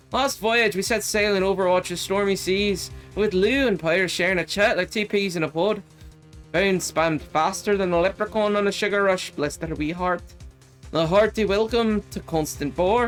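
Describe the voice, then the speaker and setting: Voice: medium pitch, lightly acted. A streamer putting on a hammy Irish sea captain. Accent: exaggerated stereotypical Irish. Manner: sing-song, hammy stage brogue. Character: jolly Irish sea-captain narrator, hearty. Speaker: streamer reading a follower message.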